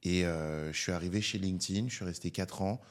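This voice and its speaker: A man with a Parisian French accent talks through his career as a podcast guest. His voice sits very low and stays level, with little rise or fall. He explains at a measured pace, pausing often on hesitations. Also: steady tone. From France. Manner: measured, filler-heavy, explanatory. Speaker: podcast guest, public affairs director. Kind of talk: casual interview talk.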